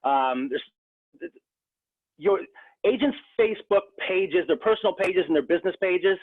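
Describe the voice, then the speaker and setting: A real estate agent from the Rochester, New York area, talking earnestly in a casual interview, with many restarts. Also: male voice, high-pitched, lively intonation, unhurried. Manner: earnest, frequent restarts and fillers. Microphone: phone. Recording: tinny phone line.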